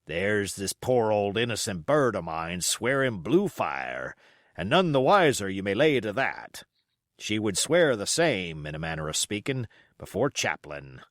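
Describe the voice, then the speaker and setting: An old sea cook, acted by a male reader, folksy and sly, drawls in rustic sailor talk. The voice is lowish and swings widely in pitch, recorded dry and close. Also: big pitch swings, unhurried, fairly bright tone, dry recording. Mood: mock-pious. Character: old sea cook, folksy, wily, fond of his parrot. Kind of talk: audiobook character voice.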